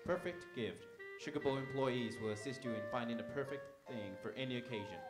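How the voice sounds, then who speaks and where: A man's voice: mid-range voice, brisk. A man announcing at a live radio theatre show, reading a scripted sponsor message. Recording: roomy live sound with music behind. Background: music.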